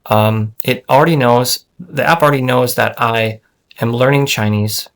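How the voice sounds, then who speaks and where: A man's voice: medium pitch, moderate pace, creaky. A man demoing his language-learning app on screen, talking in a casual, thinking-aloud way with lots of fillers and restarts. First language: English. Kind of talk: casual screen-share software demo.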